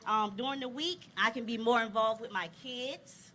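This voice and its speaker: A woman's voice: a little high, normal speed, a bit bright, a little hiss or hum. Cheerful woman panelist outdoors. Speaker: panelist, packaging operator. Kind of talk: panel discussion. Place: outdoor stage.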